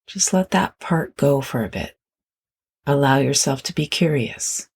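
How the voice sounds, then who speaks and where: Slow, husky meditation guide with creaky voice. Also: mid-range voice, expressive. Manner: gentle, unhurried instruction with long pauses.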